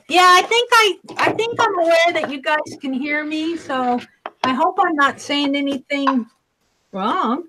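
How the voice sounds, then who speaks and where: A woman chatting loudly and casually about crafts on a video call live stream. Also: high voice, slow pace, pauses often, noisy background. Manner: loud, chatty voice.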